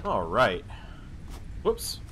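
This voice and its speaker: A man casually narrating his own building game, talking to himself with wry asides and a slightly hoarse voice. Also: on the low side, wildly swooping pitch, very slow, background noise. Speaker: let's play host. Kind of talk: casual gameplay commentary. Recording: voice-over with loud game music behind.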